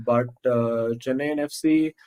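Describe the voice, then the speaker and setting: A man doing live esports co-commentary on a football video game, talking haltingly with many fillers and restarts. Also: mid-range voice, fairly even intonation, darkish tone, clear, resonant tone.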